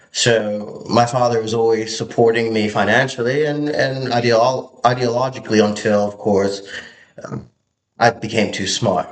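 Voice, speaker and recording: A young man from Hong Kong giving an earnest, halting motivational monologue to camera, often repeating his words. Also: medium pitch, roomy sound.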